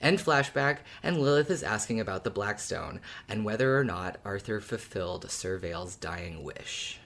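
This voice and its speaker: Animated, colloquial podcast talker. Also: low voice, normal speed, slight background noise. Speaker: podcast co-host or guest. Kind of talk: casual fan discussion podcast.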